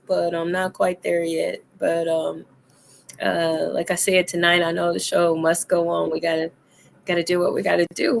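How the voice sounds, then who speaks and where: A woman hosting a casual adult chat show, hoarse and deep-voiced from being sick, laughing and coughing as she talks. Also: a little hiss or hum. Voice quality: deep, rough voice. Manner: laughs often, coughs between lines. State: hoarse from illness. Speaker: host.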